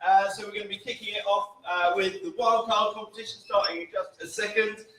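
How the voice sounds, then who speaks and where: Bright-voiced male event host, echoey hall. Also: high-pitched, fairly even intonation, a little fast. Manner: chatty, lots of "uh" fillers, jokey asides to the crowd. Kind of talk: hosting a live competition. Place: large indoor event venue. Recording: echoey venue, distant mic, faint noise.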